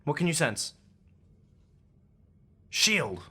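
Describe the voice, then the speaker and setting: Woman playing a low-voiced, brisk commanding investigator. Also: sing-song, pushed and bright, slightly rough, exaggerated voice. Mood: businesslike, probing. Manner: brisk, exasperated, formal. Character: Sword, brisk commanding investigator of supernatural disturbances. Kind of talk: audio drama acting.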